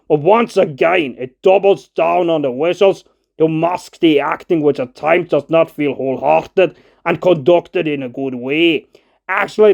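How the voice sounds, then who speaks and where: A man's voice: fairly high pitch, creaky, darkish tone, lo-fi sound. A man narrating a scripted film review as a video essay, argumentative and opinionated, in formal, slightly unidiomatic phrasing.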